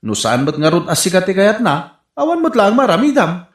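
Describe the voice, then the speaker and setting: A man acting a frank, animated young nephew. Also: high voice, brisk. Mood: earnest, reasoning. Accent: northern Philippine. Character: young nephew, frank love rival, reasoning and respectful. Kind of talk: comedy radio drama acting. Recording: roomy, echoing voice recording.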